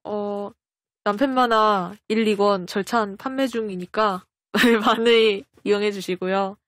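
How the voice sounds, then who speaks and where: A woman's voice: mid-range voice, fairly even intonation, unhurried, no room sound. A woman talking casually as a self-effacing interview guest, choosing her words carefully. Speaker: guest comic artist.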